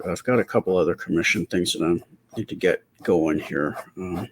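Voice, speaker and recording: Middle-aged man, low creaky voice, soft-spoken, hesitant, casual ASMR drawing chat, faint background noise. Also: low-quality recording. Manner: hesitant, unhurried, rambling. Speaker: artist and channel host.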